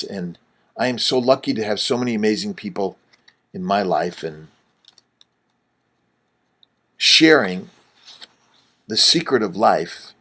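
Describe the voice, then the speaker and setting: A middle-aged man, a doctor, reading his own book aloud in an earnest, emphatic way, with wide swings in loudness. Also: sits low, slightly rough. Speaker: fertility doctor and author.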